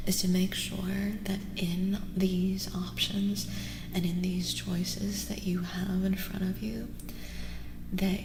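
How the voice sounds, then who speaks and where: A woman doing a soft-spoken ASMR tarot reading, moving between a breathy whisper and a soft voice, soothing and gently reassuring. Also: mid-range voice, slight background noise. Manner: soothing, gently reassuring, repeats phrases slowly. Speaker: ASMR streamer and tarot reader. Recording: reverberant echo with music behind. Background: music.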